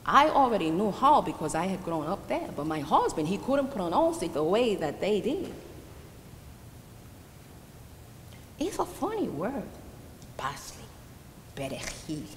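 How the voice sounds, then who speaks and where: A woman's voice: medium pitch, big pitch swings, normal speed, lightly acted. A woman performing a theatrical monologue as an old, proud and sorrowful Dominican immigrant widow with a Dominican Spanish accent, confiding her story hesitantly with emphatic swells. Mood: sorrowful, grave. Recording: roomy reverberant hall with background noise.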